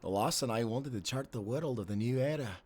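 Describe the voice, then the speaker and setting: A man plays a seasoned old sailor in a cinematic audio adventure, with a middle-pitched voice. He is devoted and nostalgic, an earnest storyteller with salty, old-fashioned phrasing. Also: a little fast, darkish tone, breathy edge, lightly acted. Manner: earnest storytelling, archaic nautical turns of phrase. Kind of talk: cinematic audio drama acting.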